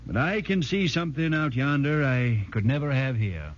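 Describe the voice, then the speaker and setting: A man's voice: medium pitch, lively intonation, normal speed, natural voice. Determined, folksy pioneer blacksmith played by a man. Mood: resolute, hopeful. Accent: rural American. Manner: plain-spoken, sincere. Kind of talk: 1940s radio drama acting. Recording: muffled 1940s radio broadcast.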